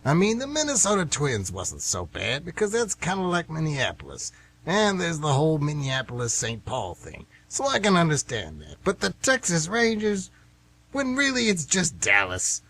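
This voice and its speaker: Man acting an excitable, husky old baseball fan. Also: on the high side, moderate pace, full character voice. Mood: enthusiastic, rambling. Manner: folksy, rambling, emphatic storytelling. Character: excitable nostalgic older American man, lifelong baseball fan. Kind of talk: amateur radio play acting. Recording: amateur radio broadcast.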